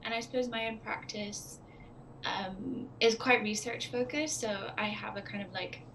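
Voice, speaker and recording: Woman from Hong Kong, mid-pitched, tentative speaker, hissy video-call line. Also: measured pace, fairly bright tone. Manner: tentative, searching for words. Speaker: guest artist. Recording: noisy video call audio.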